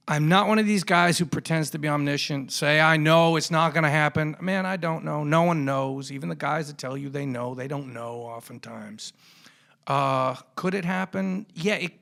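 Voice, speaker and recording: A man's voice: a little high, vocal fry, fairly bright tone. A man hosting a sports podcast, giving commentary in a punchy, colloquial way with showy flourishes. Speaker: podcast host.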